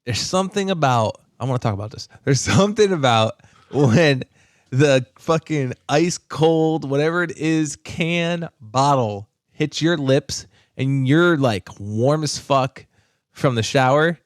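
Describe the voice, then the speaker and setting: A man's voice: on the high side, a little slow, no echo, clean studio sound. A man co-hosting a podcast, chatting enthusiastically and profanely with plenty of fillers. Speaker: podcast co-host. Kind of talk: casual chat.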